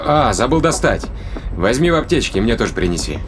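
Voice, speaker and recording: An actor playing an eager spaceship researcher in a science fiction audio drama, speaking in a colloquial, commanding way. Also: male voice, medium pitch, very animated, fairly quick, background noise, close to an everyday voice. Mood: thoughtful, offhand. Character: eager male spaceship researcher running an animal experiment. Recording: music behind the voice.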